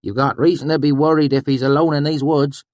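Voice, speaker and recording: A man's voice: fairly high pitch, flat delivery, brisk, a little mellow, dry recording, studio quality, voice pushed up, natural voice. A man voicing an irritable old rustic swineherd with blustery, countrified speech. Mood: spooked.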